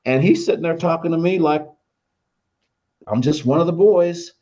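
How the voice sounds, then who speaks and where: A man's voice: a little high, moderate pace. Older American man storytelling, muffled sound. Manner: animated, acts out quoted dialogue. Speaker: veteran radio announcer telling career memories. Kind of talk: casual anecdotal storytelling.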